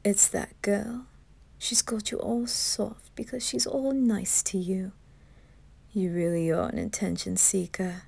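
A cold male demon butler voiced by a woman in an ASMR drama. He taunts with haughty, measured slowness and a sneer. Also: mid-range voice, steady tone, creaky, a little mellow, breathy edge, full character voice. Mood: cold, menacing. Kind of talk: ASMR roleplay.

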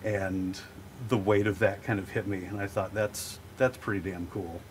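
A man's voice: sits low, a little hiss or hum. Playful man hosting a joke quiz. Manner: playful, jokey, quick deadpan punchlines. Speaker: host. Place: sound booth.

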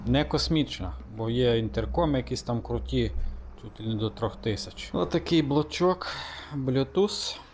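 Man, mid-pitched voice, casual hands-on demo, relaxed, thinking aloud. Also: moderate pace, slight background noise. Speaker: motorcycle vlogger.

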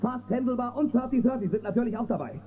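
A man's voice: very high-pitched, quick pace. An actor playing a heroic, confident space western lawman in a children's audio drama, a man's voice. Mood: firm. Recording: tinny, noisy old cassette audio drama.

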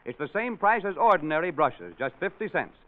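A man's voice: on the high side, very animated, a little fast. An American man reading an emphatic, scripted 1940s radio commercial. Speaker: commercial announcer. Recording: tinny 1940s radio recording.

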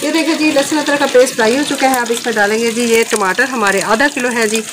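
A woman's voice: fairly high pitch, steady tone, fast. A woman in her thirties from Pakistan, speaking, chatting while she cooks and explaining each step, with "ji" often dropped in. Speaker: vlogger cooking. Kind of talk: casual cooking vlog narration. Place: outdoor village rooftop courtyard. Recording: outdoor camera audio with noise.

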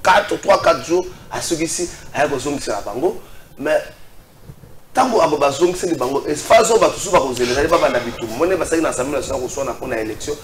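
Un homme d'une quarantaine d'années animant un débat télévisé, qui parle de façon hésitante avec beaucoup d'hésitations. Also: male voice, fairly high pitch, animated, echoey room, a crowd in the background. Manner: halting, many fillers. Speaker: TV debate host. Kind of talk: TV debate moderation. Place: TV studio set.

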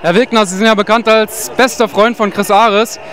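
A man reporting from a street protest, asking brisk interview questions. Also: sits high, fairly even intonation, fairly bright tone, slight background noise. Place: outdoors at a protest.

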